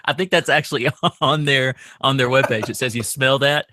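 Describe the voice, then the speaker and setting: Bright-voiced man in casual podcast discussion. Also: mid-range voice, normal speed, slight background noise. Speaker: podcast co-host.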